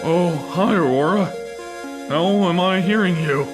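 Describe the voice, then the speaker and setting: A man playing an easygoing, dopey young teammate in an audio drama, casual and deadpan. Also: sits high, measured pace, music in the background.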